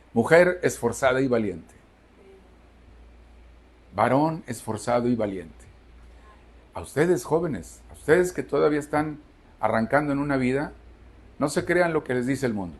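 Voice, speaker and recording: A man's voice: medium pitch, expressive, normal speed, long pauses, darkish tone, husky. A Mexican man in his sixties preaching a Sunday sermon to his church, emphatic, asking the congregation questions and answering them himself. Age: 60s. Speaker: pastor. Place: church service.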